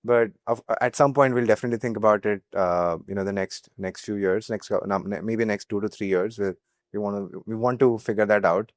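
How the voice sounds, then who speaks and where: A man's voice: fairly low pitch, steady tone, a little fast, dry recording. Young Indian man, dry close sound. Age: young adult. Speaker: startup co-founder being interviewed. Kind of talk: business interview.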